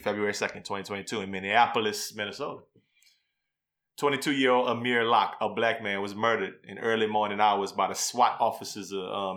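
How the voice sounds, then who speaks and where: A man's voice: mid-range voice, bright, forceful tone. A man co-hosting a podcast, talking in a slangy, blunt, emphatic way as he gives casual commentary. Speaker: podcast co-host.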